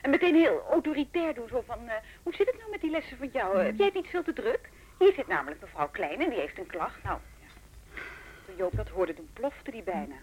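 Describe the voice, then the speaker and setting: An actress playing an indignant, talkative trumpet teacher in a naturalistic radio drama. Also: female voice, fairly high pitch, very animated, fairly quick, a little mellow, breathy edge, low-quality recording. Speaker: orchestral musician and music teacher.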